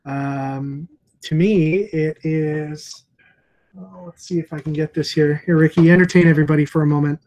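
A man's voice: on the high side, moderate pace, poor audio. Chatty bearded man on a video call. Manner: relaxed, chatty, many fillers. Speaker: comic shop owner and host. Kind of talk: casual video call chat. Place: room with comic books on shelves. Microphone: earphone headset on video call.

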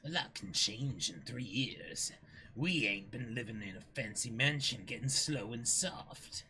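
A man voicing a defiant, menacing pirate who taunts his captain slowly and deliberately in a dramatic manga reading. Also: mid-range voice, expressive, sharp tone, a little hiss or hum. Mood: menacing taunting.

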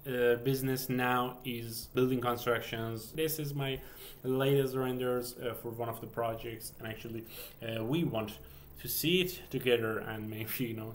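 A man's voice: medium pitch, slight background noise. A man in his thirties from Iran with a Persian accent, speaking English as a second language and hosting a casual screen-share walkthrough full of fillers and restarts. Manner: hesitant, many fillers and restarts. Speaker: game developer vlogger. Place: home office, indoors.

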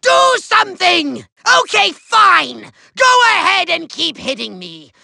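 A man voicing a short-tempered megalomaniac villain in a giant robot body for a video game, shrill, raspy and nasal, pompous, bombastic and gloating. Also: sing-song, takes it slowly, pushed and bright, no room sound, clean studio sound, full character voice. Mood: pained, indignant. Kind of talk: theatrical cartoon villain acting.

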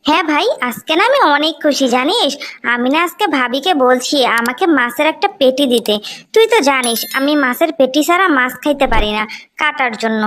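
Meek little sister for a cartoon moral tale, played by a woman: Bangladeshi accent, lightly high, wistful. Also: lively intonation, a little fast, a bit bright. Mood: happy, hopeful. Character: meek, wistful young sister longing for a treat. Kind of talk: animated moral story dialogue.

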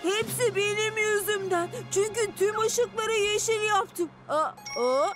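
Very high, excitable little cartoon truck. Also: slow. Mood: panicked, guilty. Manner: expressive, exclamatory, childlike. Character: eager, excitable young cartoon delivery truck. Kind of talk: animated children's cartoon dub. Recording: music bed under the voice.

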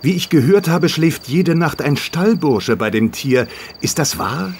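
An actor playing a smooth, insinuating male stranger, a sly racing spy, in a German radio play. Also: on the high side, animated, unhurried, a little hiss or hum. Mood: affable. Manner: glib, polite, coaxing.

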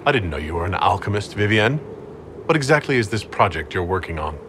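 Heroic leader in a fantasy game, low voice, lively intonation, direct, faint ambience. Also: male voice, a little fast, slight background noise. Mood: direct, questioning. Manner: direct, questioning. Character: composed, inquisitive male fantasy hero and leader.

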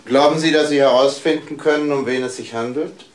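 An actor playing a courteous, measured doctor and gracious host in a crime radio drama. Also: male voice, medium pitch, close to an everyday voice. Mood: polite, pensive. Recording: roomy, noisy old drama recording.

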